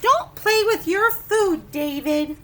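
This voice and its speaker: An exasperated storybook mother, as a woman reader puts her on, scolds her naughty child. Her voice is high and sharp, and she stretches her stern commands slowly and emphatically. Some noise sits behind her. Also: exaggerated voice. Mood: exasperated scolding.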